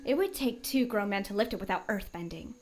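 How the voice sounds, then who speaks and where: A woman's voice: medium pitch, expressive, a little fast, lightly acted. A woman playing a smug young farm girl bully in a visual novel, taunting with drawled mock politeness. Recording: music behind the voice.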